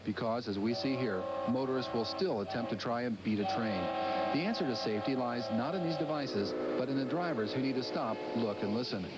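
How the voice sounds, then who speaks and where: A man's voice: mid-range voice, a little fast, over loud music. A man narrating a scripted public affairs television news segment, recorded on a noisy old VHS tape.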